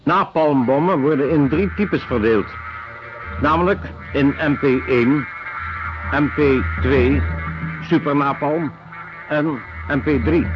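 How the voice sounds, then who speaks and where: A clinical, detached male lecturer in a radio play, voiced by an actor, speaking in a slightly high voice. He is precise and impersonal, with music clearly behind him on a muffled recording. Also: lightly acted. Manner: matter-of-fact, precise, impersonal. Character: detached clinical lecturer on weapons and torture methods. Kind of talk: scripted lecture in a radio drama.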